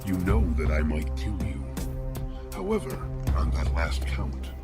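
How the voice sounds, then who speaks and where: An actor playing a cold, menacing killer who narrates his own horror tale in formal, ornate, measured language. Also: low voice, wildly swooping pitch, gravelly, loud music behind the voice, exaggerated voice. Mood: cold threat. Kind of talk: scripted first-person horror narration.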